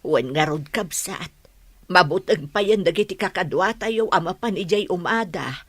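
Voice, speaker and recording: A husky, cautious Ilocano villager. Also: female voice, lowish voice, moderate pace. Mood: concerned, cautious. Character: concerned, cautious village woman rallying her townmates. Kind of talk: Ilocano radio drama acting.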